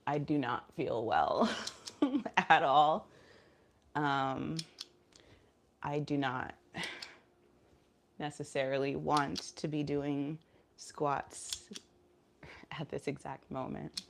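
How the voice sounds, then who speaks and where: A woman with a low voice, stuffy and nasal from a cold, chatting in an unhurried, low-energy way. She rambles with plenty of ums while squatting as she talks to her viewers. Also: creaky, slight background noise. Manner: talking while doing squats. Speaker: vlogger. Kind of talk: casual chat vlog, streaming live.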